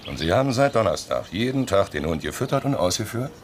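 A man's voice: fairly low pitch, very animated, quick pace, background noise. An actor playing a probing, sardonic male police detective in a radio crime drama. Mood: probing, skeptical.

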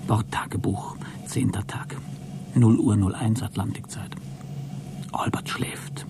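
A man's voice: fairly low pitch, fairly even intonation, slow pace, creaky, in a whisper. A man playing a mocking, scheming astronaut in a satirical science fiction radio drama. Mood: secretive, hushed. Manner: sardonic, needling. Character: mocking, scheming male astronaut, resentful of his crewmate.

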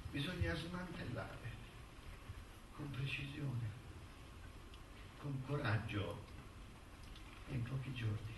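An actor playing a sardonic middle-aged intellectual defending himself on trial, in theatrical, emphatic stage delivery. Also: male voice, fairly high pitch, full character voice. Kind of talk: stage play acting, courtroom monologue and retort. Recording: roomy distant stage recording, faint noise.

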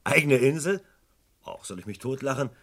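An actor playing a gruff, middle-aged police chief inspector in a German radio drama, brusque and grumbling. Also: male voice, medium pitch, expressive, normal speed, fairly bright tone.

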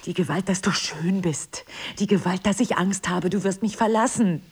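An actress playing a middle-aged, wounded and jealous wife in an intimate drama, with intense, emphatic phrasing. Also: female voice, on the low side, moderate pace, husky. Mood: anguished, accusing. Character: wounded, bitter, jealous wife, drinker, ageing and proud. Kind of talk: intimate stage-play dialogue and confessional monologue.

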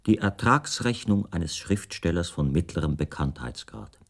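An actor playing a bespectacled middle-aged writer who recounts his own story in measured, formal and precise literary narration. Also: low-pitched, sing-song, creaky, full character voice. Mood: measured, recollecting. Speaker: first-person narrator of a radio play. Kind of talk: literary scripted narration in a radio play.

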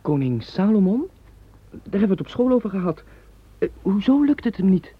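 An actress voicing a curious, chatty schoolboy in a radio play. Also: female voice, mid-range voice, normal speed, slightly rough. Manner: lively, inquisitive. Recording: dull lo-fi recording.

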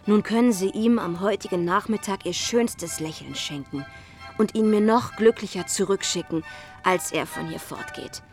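A woman's voice: mid-range voice, normal speed, faint music behind, close to an everyday voice. An actress in a German radio play playing a confident, playful young society lady, reading letters aloud in a polished, arch way. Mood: gushing, arch.

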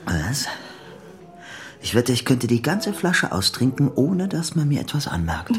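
A man's voice: mid-range voice, sing-song, soft background music. An actor playing David, a proud, obsessive and touchy man, defiant and brittle in a radio drama. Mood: touchy, dismissive. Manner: defiant, brittle, quick to flare up.

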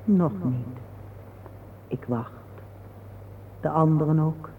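A man acting a sorrowful, patient parent in a radio drama, speaking in a solemn, poetic, measured way. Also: fairly high pitch. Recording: narrow phone-like old radio recording, faint hiss.

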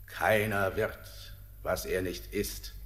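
An actor playing a mysterious, commanding old miner who guides from another world, terse, grave and insistent, in a solemn radio drama. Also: male voice, sits low, slow, fairly bright tone.

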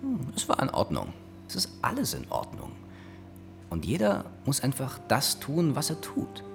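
An actor playing a meek, resigned, traumatised war veteran in a radio play, halting and subdued. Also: male voice, mid-range voice, huge pitch swings, creaky, a bit bright, faint music behind, exaggerated voice. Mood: quiet resignation. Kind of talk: radio play acting in German.

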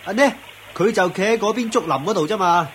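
A man's voice: sits high, brisk, fairly bright tone, slight background noise. A man playing an eager, deferential disciple of ascetics in a Cantonese radio drama, plaintive and full of interjections.

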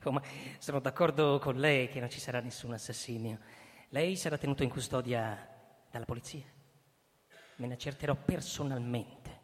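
An actor playing a cold, pompous retired army chief, an older man speaking formally and deliberately in a radio drama. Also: mid-range voice, lightly acted. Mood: pompous, firm. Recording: echoey hall, music underneath. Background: music.

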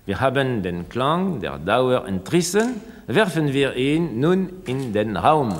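A man lecturing in a scripted radio-essay dialogue, formal, deliberate and oracular as he expounds ideas. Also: medium pitch, big pitch swings, very noisy. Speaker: thinker expounding ideas on sound. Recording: long reverberant hall.

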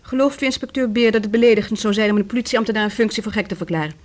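A woman's voice: medium pitch, very fast, lightly acted. An actress playing a proud, defiant divorced woman in a radio play, speaking formally and with icy hauteur. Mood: challenging. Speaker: radio drama actor.

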